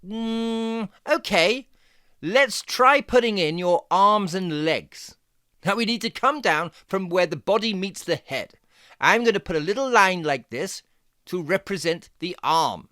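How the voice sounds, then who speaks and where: A performer voicing a jaunty, fussy fox who gives playful, step-by-step drawing lessons for children in a theatrical, deliberate, encouraging way. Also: medium pitch, lively intonation, bright, forceful tone, no echo, clean studio sound. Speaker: presenter of children's drawing tutorial.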